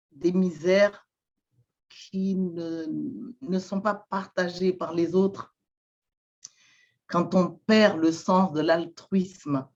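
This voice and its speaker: A woman from Madagascar, not a native French speaker, talks very slowly in an interview. She hesitates, stacks up fillers and repeats words as she searches for them. The sound is a bit dull and lo-fi. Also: on the low side, a little mellow. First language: Malagasy. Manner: slow, halting, many fillers and repeated words. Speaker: guest, shamanic therapist. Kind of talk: interview answers.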